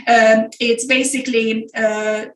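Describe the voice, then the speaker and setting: A woman's voice: a little high, little pitch movement, slow pace. A middle-aged woman from Hungary with a Hungarian accent, presenting an online business masterclass in an earnest way and repeating words for emphasis. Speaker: business coach. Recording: echoey room.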